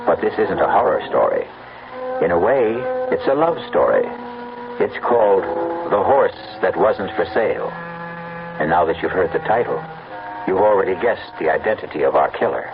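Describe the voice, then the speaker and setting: A man's voice: low voice, sing-song, normal speed, gravelly, music in the background. An American man hosting and narrating a mystery radio drama with dramatic scripted delivery, on a muffled old broadcast.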